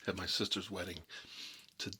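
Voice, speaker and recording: A man's voice: low voice, level tone, pushed and bright, a little hiss or hum. A man playing a boastful Italian-American mob boss in an improvised ASMR role-play, rambling and muttering between bites of food. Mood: boastful, nostalgic. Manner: rambling, halting, muttering, talking with food in his mouth. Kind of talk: improvised ASMR character role-play, eating while talking.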